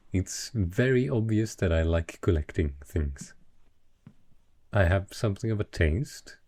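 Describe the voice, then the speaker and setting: A possessive, refined dragon in the guise of a rich patron , played by a man, speaks in a deep, husky voice. The delivery is unhurried and effusively eloquent, made for romantic fantasy roleplay with the listener. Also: a little mellow, exaggerated voice. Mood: proud, covetous. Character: dragon disguised as a wealthy male patron, possessive, courtly, effusive.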